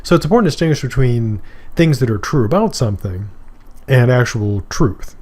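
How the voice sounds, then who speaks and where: A middle-aged man from Maine lectures on philosophy at a mid pitch. He talks in a loose, conversational way and stops for examples, fillers and asides. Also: expressive, brisk, darkish tone. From Maine, USA. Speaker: philosophy professor. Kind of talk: recorded course lecture.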